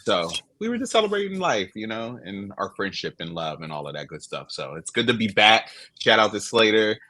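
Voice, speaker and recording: A man co-hosting a podcast, recounting things in a relaxed, warm way with bright lifts. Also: on the low side, a little fast. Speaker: podcast co-host. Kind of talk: casual chat.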